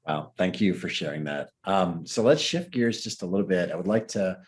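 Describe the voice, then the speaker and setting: Deep-voiced male interviewer. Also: animated, normal speed. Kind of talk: interview question.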